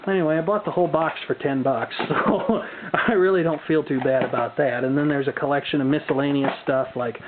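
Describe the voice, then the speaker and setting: A man with a slightly high voice talks off the cuff as he presents things to camera. His delivery is wry and folksy, with dry asides. The sound is narrow and phone-like, with some faint noise behind him. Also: brisk. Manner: wry, dry humor, folksy turns of phrase. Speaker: hobbyist video presenter. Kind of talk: unscripted casual show-and-tell commentary. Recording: muffled phone-like audio with background noise.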